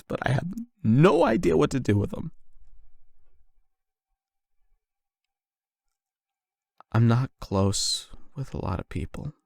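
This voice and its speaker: A young man playing a nervous, lovestruck online friend in an intimate ASMR roleplay, speaking haltingly and awkwardly with self-doubt. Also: medium pitch, gravelly, no room sound, studio quality. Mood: earnest, vulnerable.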